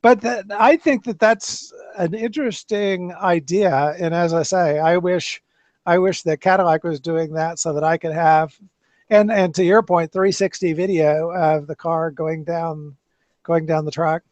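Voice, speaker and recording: A man's voice: a little high, fairly even intonation, measured pace, darkish tone, no echo. A man hosting a casual car chat, talking in loose, rambling sentences with many restarts. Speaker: podcast host. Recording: dull lo-fi dry sound.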